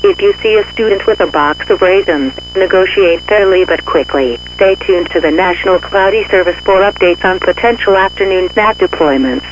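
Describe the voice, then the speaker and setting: A man with a high voice reads an official emergency bulletin in a formal, measured delivery. The audio is dull and radio-like, with a faint hum of noise behind the words. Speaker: emergency alert announcer. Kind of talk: scripted emergency weather bulletin.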